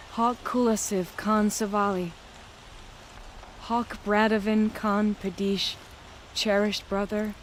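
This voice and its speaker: An actress playing a proud, determined young woman hunter and leader in a video game, speaking in measured, formal phrases. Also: medium pitch, a little hiss or hum, natural voice. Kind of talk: dramatic video game acting.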